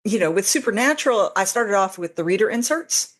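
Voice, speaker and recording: A woman chatting casually as an interview guest, informal and expressive, voicing her own reactions. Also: mid-range voice, fairly quick. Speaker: interview guest, fanfiction author. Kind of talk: casual chat.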